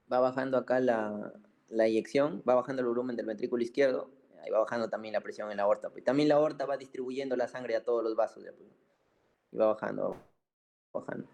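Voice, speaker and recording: Mellow man teaching informally. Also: mid-range voice, creaky. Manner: didactic, colloquial, with frequent check-in tags and repetition. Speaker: medical physiology teacher. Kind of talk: informal explanatory lecture.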